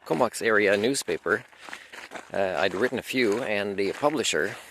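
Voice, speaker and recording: A man whose first language is French, giving a rambling, aggrieved unscripted monologue and clearing his throat now and then. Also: lowish voice, moderate pace, fairly bright tone, slight background noise, rough recording. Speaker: video lecturer.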